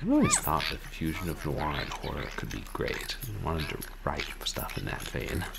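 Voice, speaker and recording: A man in his thirties streams a game from a small room, musing aloud with dry asides. His voice is deep and gravelly but lively in pitch. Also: moderate pace. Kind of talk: casual play-along commentary. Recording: noticeable background noise.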